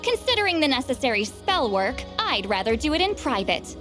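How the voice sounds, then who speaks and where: A woman's voice: sits high, sing-song, a little fast. A woman voicing a centuries-old shaman with a youthful voice, shy and easily flustered. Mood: calm. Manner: hesitant, stammering, fussy. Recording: game audio with faint music.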